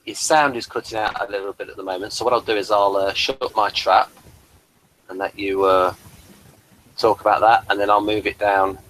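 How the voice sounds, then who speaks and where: A man hosting a casual review chat, talking in a halting way and repeating words as he goes. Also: fairly low pitch, steady tone, a bit bright, a little hiss or hum, rough recording. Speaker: host of a TV review show.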